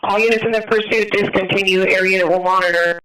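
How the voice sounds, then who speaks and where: Flat, high-voiced male police dispatcher. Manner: procedural, repeats updates in a measured way. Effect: police radio. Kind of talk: police dispatch relaying radio updates. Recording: distant-miked police scanner dispatch audio.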